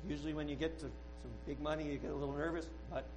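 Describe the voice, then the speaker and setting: A man's voice: on the high side, little pitch movement, background noise. Earnest man giving a rambling testimony. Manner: earnest, halting, with many restarts. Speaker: church member giving a testimony. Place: church service.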